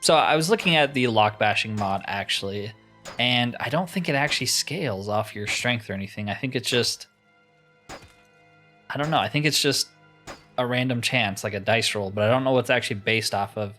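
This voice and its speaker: A man's voice: mid-range voice, normal speed, sharp tone. Man musing aloud over game music. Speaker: gamer narrating his playthrough. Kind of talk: casual let's-play commentary.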